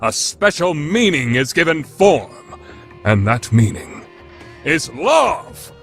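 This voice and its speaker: A man's voice: fairly high pitch, very animated, takes it slowly, fairly bright tone, heavily acted. A man playing a grandly solemn narrator, declaiming scripted narration for an anime radio play. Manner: dramatic, declamatory. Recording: background music under the voice.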